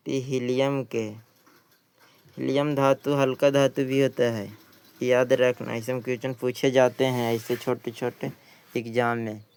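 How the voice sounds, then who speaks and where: A man's voice: medium pitch, clean tone, no echo. A man teaching by reading exam questions and explaining the answers, often repeating himself. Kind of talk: exam question walkthrough lesson.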